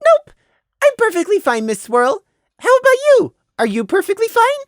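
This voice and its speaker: High, chirpy little pony colt. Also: female voice, wildly swooping pitch, normal speed, dry recording, clean studio sound, full character voice. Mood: chirpy, falsely innocent. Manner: chirpy, exaggerated wide-eyed enthusiasm. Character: Prey, malicious young colt feigning sweet childlike innocence.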